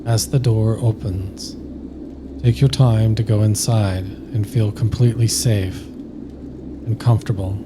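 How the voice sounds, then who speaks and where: A man narrating a scripted guided sleep meditation slowly and soothingly, with long pauses. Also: lowish voice, steady tone, background noise.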